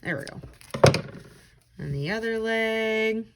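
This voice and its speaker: A woman's voice: fairly high pitch, takes it slowly. A woman tutor giving children simple, encouraging step-by-step instructions for a craft. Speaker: tutor leading a children's read-aloud craft.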